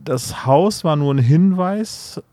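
A man's voice: a little high, expressive, slow pace, mellow, no echo. A man co-hosting a podcast, chatting casually about a story with joking interjections. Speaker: podcast co-host. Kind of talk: casual chat and commentary.

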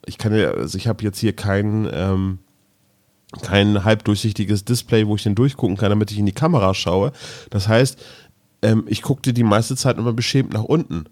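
A male podcast host with a fairly low voice. He talks casually and tends to wander through his explanations, as in an informal conversation. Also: normal speed, darkish tone.

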